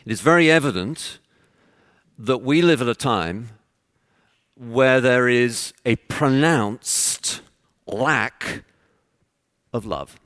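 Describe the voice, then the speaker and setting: A man with a Texan accent preaching a conference sermon in an earnest, conversational way, pausing as he goes. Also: mid-range voice, lively intonation, a bit bright, no room sound, clean studio sound. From Texas. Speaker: visiting preacher. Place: church conference.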